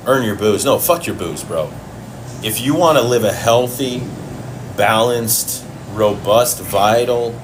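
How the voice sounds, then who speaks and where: A man's voice: on the low side, noisy background. A man from Chicago hosting a casual livestream Q and A from home, blunt and profane, answering questions while he eats. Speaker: fitness livestream host.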